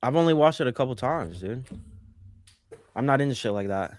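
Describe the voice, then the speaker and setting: A man's voice: mid-range voice, expressive, brisk, darkish tone, dry recording. A man co-hosting a sports podcast, joking and teasing his friends in casual banter. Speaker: podcast co-host.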